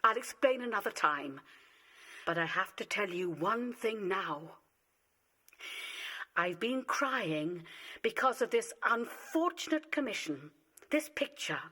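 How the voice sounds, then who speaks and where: A woman acting an anxious, emotional wife and mother in a radio play, speaking theatrically with dramatic pauses. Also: mid-range voice, animated, bright, forceful tone, full character voice. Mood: tearful, confiding.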